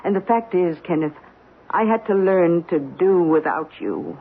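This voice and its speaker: An actress playing a bitter, proud, middle-aged wronged wife in an old-time radio drama, speaking in an articulate, cutting, deliberate way. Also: female voice, fairly low pitch, animated. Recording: tinny, noisy old radio recording.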